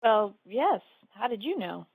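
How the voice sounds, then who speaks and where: A woman's voice: on the high side, very animated, measured pace, gravelly, husky, no echo, narrow, tinny audio, exaggerated voice. A woman playing an earnest, plucky young female alien in a sci-fi game audio drama, a little unsure of herself. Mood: wary, hesitant. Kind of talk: fan audio drama, game-story character acting.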